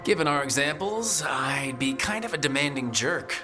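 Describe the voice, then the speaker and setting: A man's voice: medium pitch, animated, moderate pace, lightly acted. An actor playing a direct, wry young male hero in a video game, in a conversational manner. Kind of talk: naturalistic video game acting. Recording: music behind the voice.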